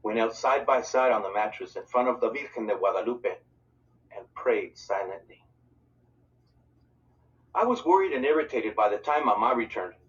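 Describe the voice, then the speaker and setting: Man, storybook narrator, animated dramatic delivery, distant microphone, dull sound. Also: fairly low pitch, moderate pace, pauses often. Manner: dramatic, voices dialogue for characters. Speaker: narrator reading a book aloud. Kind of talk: expressive read-aloud storytelling of a children's novel.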